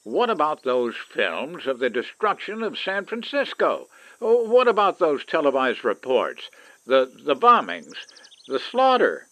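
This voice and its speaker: A man playing an anxious, disbelieving father in a science fiction radio drama, putting halting questions. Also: fairly high pitch, wildly swooping pitch, pushed and bright, full character voice, low-quality recording. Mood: stunned, disbelieving. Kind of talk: old-time radio science fiction acting.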